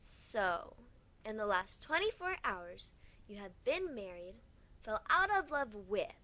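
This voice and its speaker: A man acts out a doubtful pony princess from a fantasy fan story. The voice is high and feminine, measured yet swinging widely in pitch as she questions. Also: big pitch swings, pushed and bright, heavily acted. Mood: incredulous. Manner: incredulous, measured. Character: skeptical pony princess, female, summing things up.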